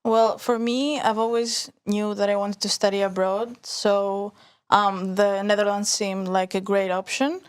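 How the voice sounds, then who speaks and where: A young woman from Greece, a university student guest, speaking calmly and softly in casual chat with some hesitation. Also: medium pitch, measured pace, clear, resonant tone. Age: young adult.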